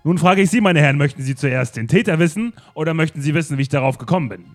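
A man's voice: a little high, quick pace, soft background music, pitched above the performer's own voice, natural voice. A man playing a pompous detective in an improvised radio play, declaiming with theatrical emphasis. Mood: grandiose. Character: pompous theatrical male detective, grand speeches. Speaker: improv performer.

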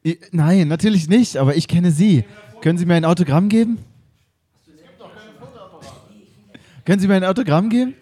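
Flustered, starstruck museum curator, a man doing it, mellow and higher-pitched. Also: fast, husky. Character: fawning, rambling male museum curator. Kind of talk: improvised comedy radio play.

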